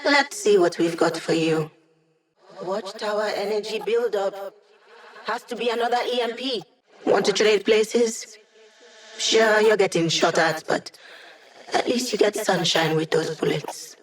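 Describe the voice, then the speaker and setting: Woman acting a cocky young hacker, sarcastic, casual slang, mid pitch, game voice lines. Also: a little slow, lightly acted. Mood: wry. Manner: sardonic, quippy, casual slang. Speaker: game character, hacker support.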